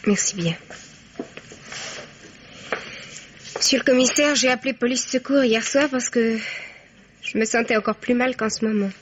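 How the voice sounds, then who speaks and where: An actress playing a frank, cheeky young student daughter in a 1950s French radio mystery. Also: female voice, fairly high pitch, steady tone, brisk, slight background noise, natural voice. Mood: wry confession. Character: brash, wry young student daughter, freshly jilted. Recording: dull vintage radio recording.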